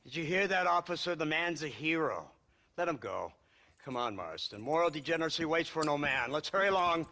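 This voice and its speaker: A man's voice: a little high, lively intonation, brisk. An actor playing a smug, condescending government lawman in a video game, with a pompous, mocking and articulate manner. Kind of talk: video game cutscene acting. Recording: loud engine and vehicle noise.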